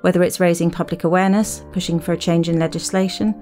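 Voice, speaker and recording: A woman hosting a podcast, reading scripted narration and putting questions in a measured, clearly phrased way. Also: on the low side, steady tone, darkish tone, faint music behind. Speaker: podcast host, interviewer.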